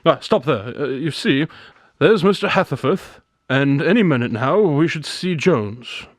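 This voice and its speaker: A man acting a confident, brisk, slightly pompous private detective in a radio drama, articulate and theatrical. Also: on the high side, expressive, no room sound, studio quality. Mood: confident, scheming.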